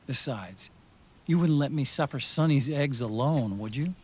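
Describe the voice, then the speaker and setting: An actor playing a loyal, gentle friend in a game cutscene, speaking earnestly and tenderly. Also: male voice, mid-range voice, expressive, normal speed, slightly rough. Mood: tender, wistful. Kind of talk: dramatic video game cutscene acting.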